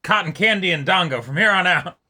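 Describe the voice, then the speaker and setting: A man's voice: sits high, animated, fairly quick, bright, forceful tone. A man co-hosting a reaction show, chatting casually with wry, joking remarks.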